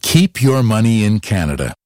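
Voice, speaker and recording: A low man's voice with a lively, rising and falling delivery, recorded dry, with faint music behind. He makes a slow, earnest appeal in an announcement. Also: no echo. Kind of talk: public service announcement.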